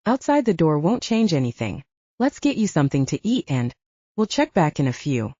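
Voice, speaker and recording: A woman's voice: sits low, very animated, normal speed, dark tone, husky, no room sound, highs cut off, heavily acted. Woman voicing a choked-up, fussing werewolf mother. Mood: anxious, fussing. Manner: choked, gasping delivery. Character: tearful middle-aged mother wolf, overwhelmed and fussing.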